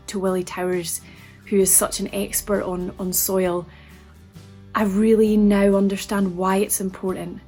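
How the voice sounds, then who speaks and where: A woman's voice: medium pitch, darkish tone, husky. A Scottish woman from Caithness giving reflective interview talk. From Caithness, northern Scotland. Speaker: project team member.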